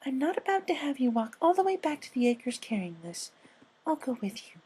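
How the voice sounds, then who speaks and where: A man voicing a gentle, caring female pegasus pony, speaking in a quiet, tentative and kindly way for a fan story. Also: very high-pitched, very animated, a little fast, breathy edge, voice pushed up, full character voice. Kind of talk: character voice in fan fiction reading.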